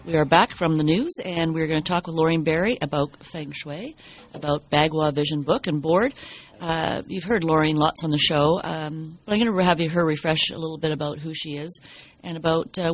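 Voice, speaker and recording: A woman's voice: low-pitched, a little fast, slightly rough. A woman hosting a radio lifestyle show, talking in a loose, friendly way with many fillers. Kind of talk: casual interview chat.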